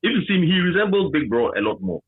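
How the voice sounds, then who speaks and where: A Ghanaian man reminiscing conversationally as an interviewee, with a husky voice and a habit of repeating phrases for emphasis, over a tinny line. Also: fairly high pitch, big pitch swings, quick pace, no echo. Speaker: interviewee, former campus radio manager.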